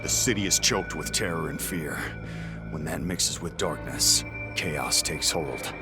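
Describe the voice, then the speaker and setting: An actor playing a gruff, brooding warrior leader in a game, with a curt, hard-edged, commanding way of talking. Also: male voice, rumbling low, measured pace. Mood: grim. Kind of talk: dramatic game acting. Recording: loud dramatic music behind.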